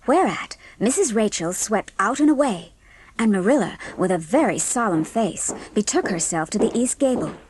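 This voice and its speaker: A woman's voice: mid-range voice, animated, normal speed, slight background noise. A woman reading scripted narration for a dramatised classic audiobook.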